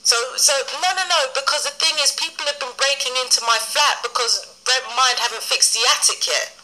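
A woman's voice: sits high, brisk, pushed and bright. A British woman in a casual phone conversation, insistent and firm, replayed through a small recorder speaker. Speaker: support client on a phone call. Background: phone call replayed from a handheld voice recorder.

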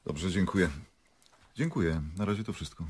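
An actor in a radio play playing a persistent, curt prosecutor with an official manner. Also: male voice, low-pitched, animated, normal speed, slight background noise. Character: brisk, persistent male prosecutor questioning witnesses, dry and official. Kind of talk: radio drama acting.

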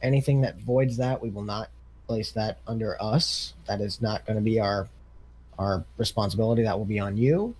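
A man's voice: on the low side, moderate pace, darkish tone, low-quality recording. A man improvising casually as an equipment dealer in a game role-play, matter-of-fact and conversational.

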